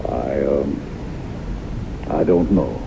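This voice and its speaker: Reserved sympathetic man, deep creaky voice, hesitant, old radio drama, noisy recording. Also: extremely slow, heavily acted. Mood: sympathetic, measured. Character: reserved, sympathetic male listener. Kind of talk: 1970s radio drama acting.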